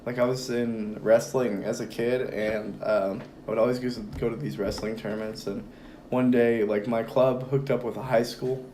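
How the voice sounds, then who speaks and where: A hoarse young man talking as a guest in a relaxed, rambling conversation with lots of fillers. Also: mid-range voice, moderate pace, slight background noise, rough recording. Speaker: podcast guest. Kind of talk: casual conversation.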